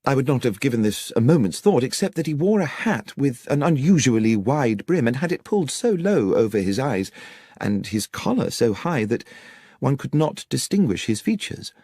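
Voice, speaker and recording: A man's voice: mid-range voice, very animated, moderate pace, mellow, husky, no echo. A man voicing an anxious, courteous young country clergyman in an audiobook, speaking in articulate, polite, wordy sentences. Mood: earnest, recounting. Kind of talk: audiobook character dialogue.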